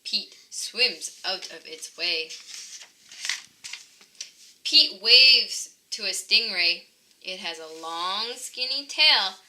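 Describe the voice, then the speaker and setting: Bright, slow, expressive woman reading a storybook. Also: mid-range voice, slight background noise. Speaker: read-aloud narrator of a children's picture book. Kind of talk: scripted storybook narration.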